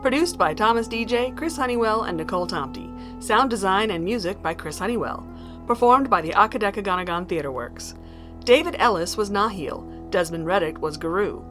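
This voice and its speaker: A woman's voice: mid-range voice, animated, moderate pace, a bit bright, faint music behind. A woman announcer reading scripted closing credits. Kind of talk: scripted narration.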